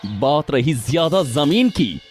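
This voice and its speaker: A man playing a jealous, hot-tempered, greedy village villain in an animated moral story, menacing and boastful. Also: on the high side, slow, faint music behind. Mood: angry, threatening.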